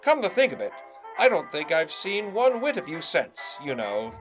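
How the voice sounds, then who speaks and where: A man's voice: on the high side, huge pitch swings, normal speed, a bit bright, soft background music. An actor playing a pompous young radio salesman and announcer in a 1920s audio drama, full of showy old-fashioned patter. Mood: boastful.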